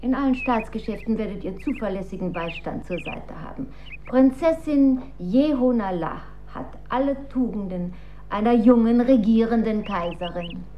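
An actress playing an imperious, manipulative dowager empress in a German radio drama, speaking in a formal, stately and measured manner. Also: female voice, fairly high pitch, natural voice. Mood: stately, insistent. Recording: dull recording with faint noise.

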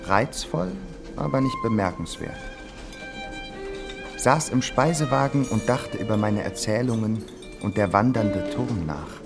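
Music runs clearly under a reflective composer narrating his diary. The voice is fairly low, measured and dryly observant, in the intimate form of a radio play monologue.

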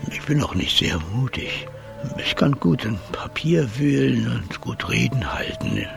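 An actor playing a small, defiant but self-doubting town mayor in a declamatory German radio play style. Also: male voice, on the low side, gravelly, exaggerated voice. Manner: grandiloquent, declamatory.

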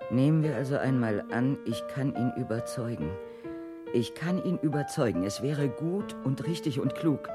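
A woman's voice: very deep voice, animated, normal speed. An actress playing a restless, coquettish and world-weary woman in a theatrical radio drama, musing in repetitive, singsong phrases. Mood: weary, brooding. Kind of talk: theatrical radio drama acting, part rhythmic monologue. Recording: music behind the voice.

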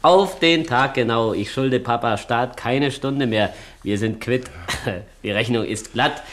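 An actor playing a chatty small-time burglar in a satirical radio play, stammering, repeating himself and wheedling theatrically. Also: male voice, mid-range voice, slow pace, a bit bright, slight background noise. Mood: chatty, sly.

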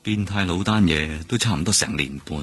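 An actor playing a seasoned, wry police detective in a radio drama, speaking blunt, slangy Cantonese with a Hong Kong accent. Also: male voice, low-pitched, fairly quick, husky. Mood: grave, cautioning. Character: seasoned male police detective, world-weary, wry, protective mentor. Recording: faint music bed underneath. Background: faint music.

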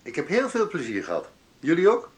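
A man voicing a big, gentle, guileless teenage boy in a radio drama, with a creaky voice. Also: fairly high pitch, wildly swooping pitch, a little fast, pitched above the performer's own voice. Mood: carefree, innocent. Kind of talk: quoted lines in a radio drama monologue.

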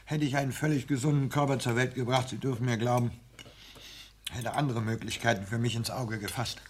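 An actor playing a meek, earnest, sickly young barber, speaking formally and plaintively in a 1960s German radio play. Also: male voice, mid-range voice, fairly even intonation, fairly quick, close to an everyday voice. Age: young adult. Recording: old radio recording with faint noise.

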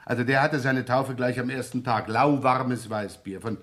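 An actor playing a scruffy, easygoing police inspector in a radio crime drama, talking in a wry, rambling, colloquial way. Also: male voice, mid-range voice, steady tone, moderate pace, fairly bright tone, natural voice. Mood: wry, chatty. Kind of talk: 1970s German radio crime drama acting.

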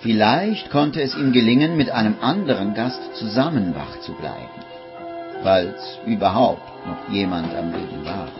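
A man acting as grave narrator of a dark tale in a 1950s radio drama, dramatic and suspenseful. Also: lowish voice, lively intonation, normal speed. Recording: muffled vintage radio recording with music.

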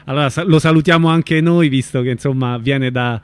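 A man hosts a radio interview. His voice sits at an average pitch, and he speaks informally, with somewhat halting, spontaneous phrasing. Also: smooth.

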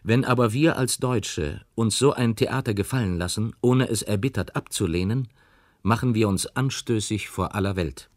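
Male reader, slightly low, formal statement delivery, very dry sound. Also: normal speed, dry recording. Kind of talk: formal reading of a written statement.

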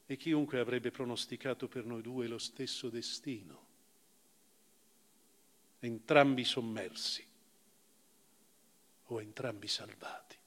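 An actor voicing a grave, reflective former prisoner, giving a measured, theatrical narration of a memoir. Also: male voice, mid-range voice, fairly bright tone. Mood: hushed, sombre. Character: former camp prisoner recounting his past, grave and reflective. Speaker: actor reading a literary memoir. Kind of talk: dramatic literary narration, live stage reading for radio. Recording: long reverberant hall, music present. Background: live music.

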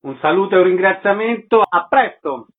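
A man's voice: high voice, lively intonation, moderate pace. A man hosting a radio show, presenting and interviewing guests in a scripted style. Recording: thin phone-like radio sound.

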